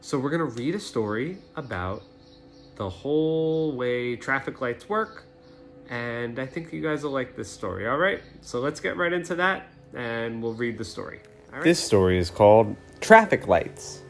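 A man's voice: medium pitch, lively intonation, normal speed, faint music behind. A man in his thirties explaining things simply and reading a storybook aloud for children. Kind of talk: casual introduction and storybook read-aloud.

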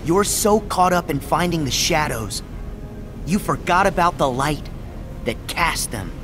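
A man's voice: on the high side, animated, measured pace, over music. An actor playing an earnest, spirited teenage boy hero in a video game, heartfelt and emphatic. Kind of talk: animated video game acting.

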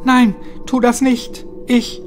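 Horror audiobook role: male narrator as a trusting, frightened young woman, pitched up, halting and pleading. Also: flat delivery, music in the background. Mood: panicked, pleading.

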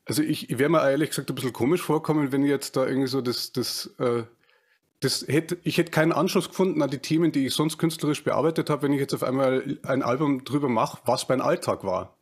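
A man's voice: mid-range voice, fast, breathy edge. Bavarian guy on a headset video call, hosting, lots of ähms and restarts. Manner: hesitant, many fillers and restarts. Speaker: podcast host. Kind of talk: casual interview chat. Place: home room, video call.

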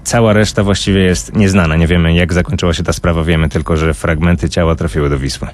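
A man with a fairly low voice lays out crime cases as an expert on a radio talk. His delivery is measured and explanatory, like someone recounting a story, and clear ambient noise runs behind him. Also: fairly even intonation, a little mellow. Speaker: true-crime expert guest, podcaster.

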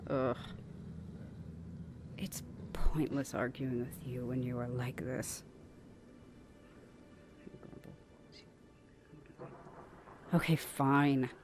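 Woman acting a low, haughty older vampire sister. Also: noisy background, exaggerated voice. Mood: grudging. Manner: measured, exasperated, haughty, often half-whispered. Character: stern, weary, condescending older vampire sister. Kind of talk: ASMR roleplay acting.

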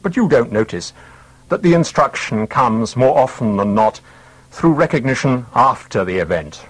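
Wolf acted by a male reader: wry, superior fable-teller, slightly rough voice, close dry sound. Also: lowish voice, no echo, low-quality recording. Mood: wryly superior.

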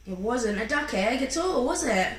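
Expressive woman reading aloud, lively high pitch. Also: normal speed. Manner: expressive, encouraging, acting out sound effects. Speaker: parent reading a picture book aloud to a young child. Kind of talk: interactive children's story reading with questions. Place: small room at home, indoors. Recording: roomy home recording with faint background noise.

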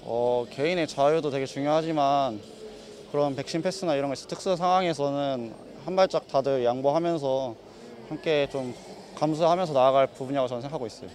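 Young man, earnest and steady, faint city noise. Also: medium pitch, brisk. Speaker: interviewee. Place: city street outdoors.

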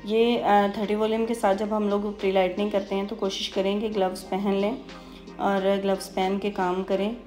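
Female beautician for tutorial voice-over: explanatory, level delivery with halting pauses, faint music underneath. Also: medium pitch, little pitch movement, a little mellow. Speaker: beautician giving a hair tutorial.

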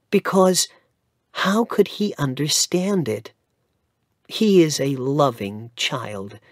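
A gentle, sorrowful young mother, voiced by a man reading aloud speaks softly and tenderly. Her lines come slowly with a lively rise and fall. The recording is dry and close. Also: medium pitch, dry recording, voice pushed up. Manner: soft and tender.

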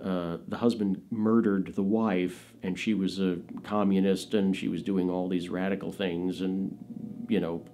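Male, 50s, American, lowish voice, chatty raconteur in a casual studio interview. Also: mellow. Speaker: interviewee, actor and filmmaker recalling his father. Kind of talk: casual anecdotal storytelling in an interview. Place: small indoor studio with dark curtains.

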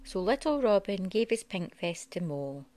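A woman reading a children's story aloud in an expressive way, like a parent at storytime. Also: mid-range voice, normal speed, dry recording. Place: living room at home.

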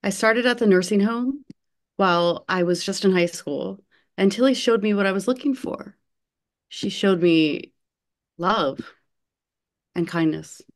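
A woman reading an earnest young female nursing home worker in an online play reading, halting and hesitant, over a video call. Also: medium pitch, natural voice. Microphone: video call computer mic.

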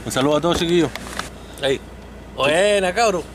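A man's voice: a little high, a little fast, background noise. A man with a Chilean accent chatting casually and joking with friends at night beside a pickup truck, greeting his subscribers. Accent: Chilean Spanish. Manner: joking, laughing, addressing subscribers. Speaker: hunting vlogger. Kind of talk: casual chat.

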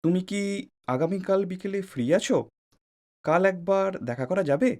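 Young male suitor played by an actor, courteous and keen, fairly high voice, audio drama. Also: normal speed, close to an everyday voice. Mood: friendly, courting.

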